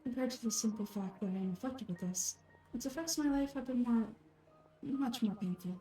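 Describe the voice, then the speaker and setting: A woman as a gentle, frail, softly mellow young woman. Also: on the high side, soft background music, close to an everyday voice. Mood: resigned, tender. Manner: soft, measured, earnest, wistful.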